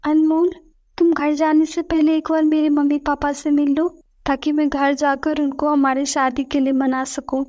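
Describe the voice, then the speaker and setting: A woman's voice: high-pitched, level tone, normal speed, darkish tone, muffled recording, lightly acted. A woman playing an earnest young woman in love and newly married, in a scripted story. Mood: earnest, polite. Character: earnest young woman, sweetheart and new bride. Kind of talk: scripted audio story dialogue.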